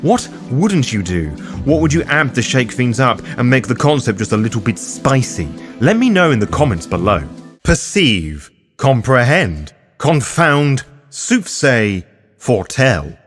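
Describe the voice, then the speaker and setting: A man's voice: medium pitch, very animated, unhurried. A man hosting a scripted guide, narrating in a theatrical, playful way with wry asides. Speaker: host of a roleplaying lore guide series. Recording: music behind the voice. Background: background music.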